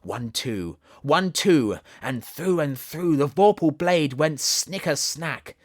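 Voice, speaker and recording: A man with a Southern English accent reading a storybook aloud as an audiobook narrator, expressive and theatrical. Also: on the high side, slow, no room sound, clean studio sound. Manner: theatrical, animated.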